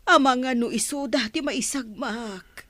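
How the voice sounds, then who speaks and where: A woman's voice: sits high, unhurried, fairly bright tone, no room sound. A woman playing a worried, protective mother in a radio drama, fretful and reproachful about her grown son. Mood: reproachful worry.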